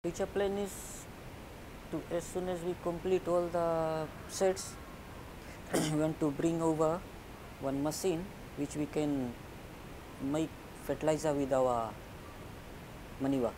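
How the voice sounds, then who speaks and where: Fijian guy, middle-aged, talking plainly about his work, bit of a low rumble underneath. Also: steady tone. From Fiji. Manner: plain, halting and conversational, with tag "eh?". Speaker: poultry farmer. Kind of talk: interview answers. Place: indoors, plain room.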